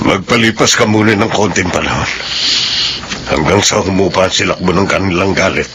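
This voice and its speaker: An actor playing a middle-aged uncle who gives measured, steady advice, in a classic radio drama. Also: male voice, low-pitched, background noise, natural voice. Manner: measured, advising. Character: calm older uncle giving counsel.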